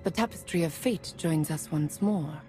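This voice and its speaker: An actress playing a solemn prophetic seer in a video game, grave and measured in manner. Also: female voice, low-pitched, darkish tone, close to an everyday voice. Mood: solemn, foreboding. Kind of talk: video game cutscene acting. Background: faint game music.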